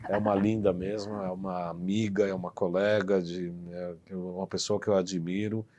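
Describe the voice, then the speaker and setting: A man's voice: deep voice, little pitch movement, moderate pace, a little mellow. A man from Brazil hosting a live TV interview in a studio, talkative, teasing and emphatic. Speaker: TV show host.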